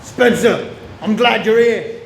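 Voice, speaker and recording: Guy acting seasoned police boss, grave but high voice, radio play, echoey room. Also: slow, vocal fry, heavily acted. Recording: echoey room with faint noise.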